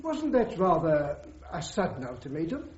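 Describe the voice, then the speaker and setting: An actor playing a courteous, exacting judge advocate in a radio courtroom drama, formal and precise. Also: male voice, a little high, big pitch swings, moderate pace, a little mellow. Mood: formal, questioning. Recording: dull, echoey radio drama recording.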